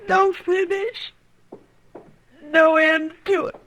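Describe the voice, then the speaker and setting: An actress playing a grief-stricken frontier widow in a radio western, speaking in a halting, bleak, resolute way. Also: female voice, very high voice, steady tone, a bit bright, highs cut off, full character voice. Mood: anguished. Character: grief-stricken frontier widow confessing to killing her husband. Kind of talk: old radio western acting.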